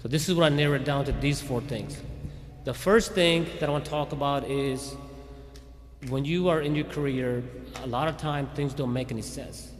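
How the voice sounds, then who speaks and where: A man's voice: mid-range voice, normal speed, faint music behind. Man speaking in a reverberant hall. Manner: conversational, with frequent restarts and "okay?" check-ins. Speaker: police chief speaking at a community event. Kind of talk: informal faith talk to a community audience. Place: Islamic center hall.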